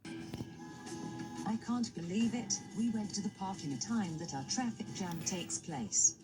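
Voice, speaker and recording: Woman as startled bystander under loud music. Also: medium pitch, expressive, slow. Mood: disbelieving. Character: alarmed female bystander caught in bridge traffic. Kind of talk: animated fan video dialogue.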